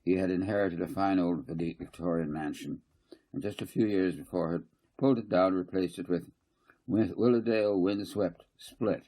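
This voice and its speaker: A man's voice: sits low, moderate pace, warm tone, rough recording. A man reading a novel aloud as its author, stumbling now and then over his words. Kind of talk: audiobook narration with dialogue.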